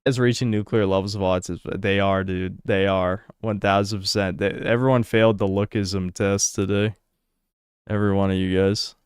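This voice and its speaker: Blunt, crude man with a smooth voice. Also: on the low side, little pitch movement, moderate pace, dry recording, studio quality. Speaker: livestream host reading donations. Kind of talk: casual livestream chat.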